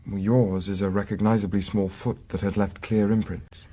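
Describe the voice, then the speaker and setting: An actor playing a discreet, composed Victorian gentleman investigator in a period radio drama, speaking formally with measured, precise diction. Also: on the low side, little pitch movement, lightly acted. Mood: firm, explanatory.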